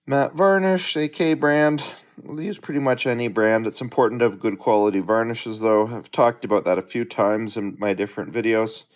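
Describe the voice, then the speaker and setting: A man's voice: medium pitch, steady tone, moderate pace. A middle-aged man hosting a hobby channel, chatting casually and unhurriedly as he unboxes a delivery at home.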